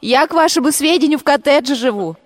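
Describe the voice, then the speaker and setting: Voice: high voice, fast, in a large hall, close to an everyday voice. An actor in a radio play voicing an indignant, incredulous female schoolteacher on the phone.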